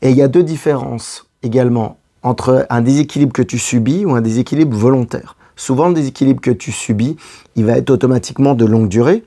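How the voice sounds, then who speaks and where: Hoarse male love coach, mellow-toned, chatty direct-to-camera advice. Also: mid-range voice, brisk. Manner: addresses the listener directly, repeating key phrases. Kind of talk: casual advice monologue to camera.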